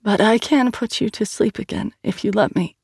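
A narrator putting on an earnest young woman student, lifted above the storyteller's usual voice. The delivery is casual and direct, with a slight rasp, in a dry storybook recording. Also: medium pitch, moderate pace, darkish tone, no room sound, pitched above the performer's own voice. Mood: pleading.

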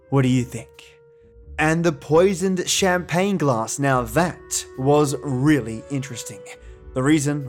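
A man hosting a podcast, giving casual, friendly commentary straight to his listeners. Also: medium pitch. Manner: chatty, friendly address to listeners. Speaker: podcast host. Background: music.

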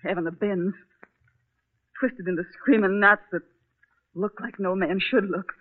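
A woman's voice: mid-range voice, measured pace, lightly acted. An anxious middle-aged wife and mother played by an actress in a 1940s radio drama. She pleads in plain, emotional, earnest working-class speech. The recording is thin and narrow, like an old broadcast. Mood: anguished.

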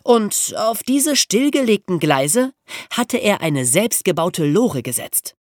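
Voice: medium pitch, big pitch swings, slow, dry recording, studio quality. A podcast host telling true crime stories for young listeners in an amused, colloquial way.

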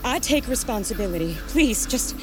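A woman's voice: a little high, lively intonation, moderate pace, over music, lightly acted. An actress playing a defiant, anguished plantation woman, pleading and earnest in an audio drama.

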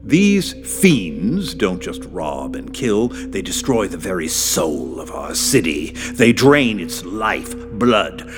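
A man's voice: on the low side, full character voice. A man acting a grave, alarmist doomsday church leader in a parody political ad, solemn and dramatic. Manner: solemn, dramatic, deliberate preaching. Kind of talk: parody paid political ad narration. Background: music.

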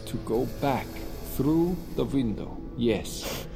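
A man's voice: mid-range voice, expressive, slow pace, creaky, over music. A man playing a grandiose, smug professor who commands a secret project in an audio drama. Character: grandiose, coldly proud male Russian professor leading a secret launch.

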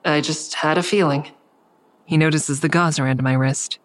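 A woman's voice: sits low, steady tone, normal speed, lightly acted. An actress playing a sleepless, guarded woman in an audio drama, confiding and understated as she narrates and talks. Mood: guarded concern. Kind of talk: audio drama first-person narration and dialogue.

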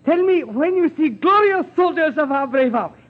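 An actor playing a gloating, fanatical Japanese spy in 1940s radio drama, with a put-on Japanese accent and clipped broken English. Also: male voice, extremely high pitch, lively intonation, normal speed. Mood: eager, menacing. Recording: muffled, tinny 1940s radio recording.

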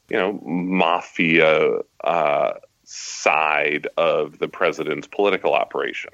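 A man giving halting, analytical commentary as a guest in a conversational interview. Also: rumbling low, pushed and bright, no echo, muffled recording. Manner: halting, analytical, frequent hedging phrases. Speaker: guest journalist.

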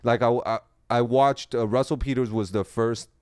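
A man who grew up speaking Mandarin talks casually as a comedian guest on a podcast. His delivery is halting and joking, with words repeated as he finds his way. The sound is close and dry, at an average male pitch. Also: steady tone, darkish tone, dry recording.

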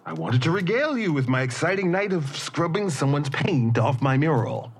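A woman acting a nosy, teasing housemate with a husky voice in audio drama dialogue. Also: deep voice, lively intonation, moderate pace, heavily acted. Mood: chatty. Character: nosy, teasing housemate calling through a door.

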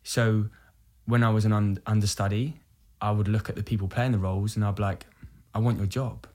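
A man's voice: lowish voice, flat delivery, brisk, darkish tone. A man in his thirties from the UK, an actor talking candidly and reflectively as an interview guest. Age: 30s. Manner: earnest, reflective, self-quoting. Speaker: musical theatre actor, podcast guest.

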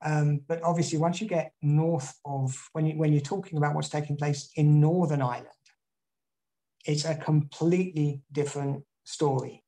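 A man's voice: a little high, fairly even intonation, moderate pace, mellow, low-quality recording. A man from the UK giving a talk and answering audience questions, with frequent restarts and fillers. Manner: rambling, many restarts. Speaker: guest speaker. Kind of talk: online talk and Q&A.

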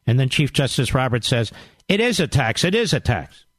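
A man's voice: mid-range voice, very animated, moderate pace, fairly bright tone, no echo, studio quality. A middle-aged man hosting a call-in radio talk show, arguing emphatically and lecturing his callers.

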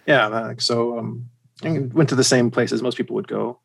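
Creaky-voiced American man, halting delivery. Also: mid-range voice. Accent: general American. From United States and Germany. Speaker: podcast guest. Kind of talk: casual chat.

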